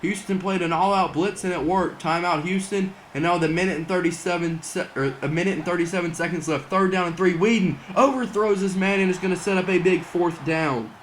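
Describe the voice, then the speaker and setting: Young guy doing hype play-by-play on a football game, kinda high voice going hoarse, little bit of hiss. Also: a little fast, hardly any pauses. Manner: excitable play-by-play, rising to near-shouts on big plays. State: losing his voice. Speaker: gaming channel host doing play-by-play. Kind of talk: mock broadcast sports commentary over gameplay.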